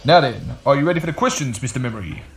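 A man's voice: medium pitch, animated, rapid-fire, gravelly. A man playing a ceremonious music-hall master of ceremonies in a homemade radio play, with a showman's delivery. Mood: grand announcing.

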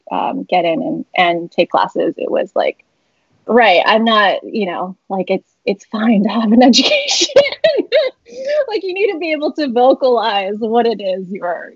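Young woman guest, faintly husky, rambling and laughing, muffled call audio, dry home room. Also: mid-range voice, dry recording. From San Francisco Bay Area. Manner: rambling, many fillers and laughs. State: slightly raspy voice. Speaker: interview guest, publisher. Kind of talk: casual video-call interview. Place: home room with shelves, indoors. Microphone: laptop webcam video call.

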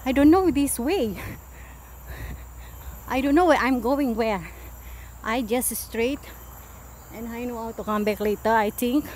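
A middle-aged Filipino woman, a native Tagalog speaker, chats casually and haltingly, musing aloud into a handheld phone as she vlogs a hike. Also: a little high, darkish tone. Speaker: vlogger. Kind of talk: casual hiking vlog chat. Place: outdoors on forest trail and roadside. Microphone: handheld phone at arm's length. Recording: clear outdoor ambient noise with insects.

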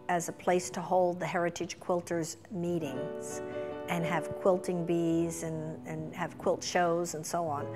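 A middle-aged woman gives a documentary interview in a fairly low voice. The room leaves a long reverb, and instrumental music plays clearly underneath. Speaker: museum chairperson, interviewee. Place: indoors, in a museum.

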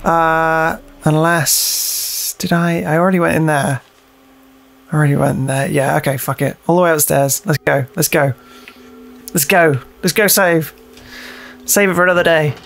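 Creaky-voiced British man gaming. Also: on the high side, steady tone, moderate pace, a bit bright. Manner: playful, sweary, repeats phrases in sing-song. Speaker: let's play host. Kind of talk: casual gameplay commentary.

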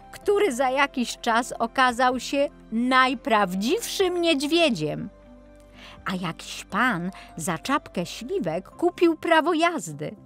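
A woman narrating a children's audiobook as an expressive storyteller. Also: fairly high pitch.

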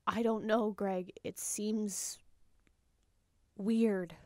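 An actress playing a weary, hesitant insect girlfriend in an animated film, speaking in a reluctant, understated, natural way. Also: mid-range voice, very slow, no echo, studio quality.

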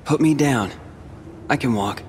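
A man with an American accent playing a brooding, world-weary teenage warrior in a video game, speaking in a measured, reflective way. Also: mid-range voice, darkish tone, a little hiss or hum, natural voice. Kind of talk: video game cutscene acting.

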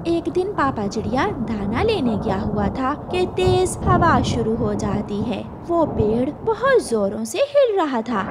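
High-voiced, expressive woman storyteller. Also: very noisy. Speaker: narrator. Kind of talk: scripted children's story narration.

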